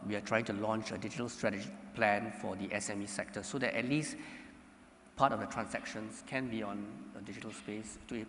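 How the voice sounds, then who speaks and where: A man from Singapore, speaking as a panelist in a measured, halting way with many fillers. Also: fairly low pitch, fairly bright tone, a little hiss or hum. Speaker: panelist, government economy official. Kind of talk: conference panel answer. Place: conference hall panel stage. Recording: reverberant conference hall.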